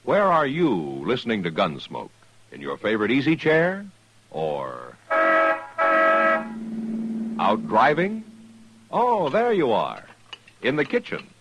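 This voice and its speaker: A man's voice: mid-range voice, huge pitch swings, takes it slowly, long pauses, fairly bright tone, muffled recording, a little hiss or hum. An American man delivering an emphatic, scripted 1950s radio advertisement in a salesman's manner. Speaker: commercial announcer. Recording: vintage 1950s radio recording.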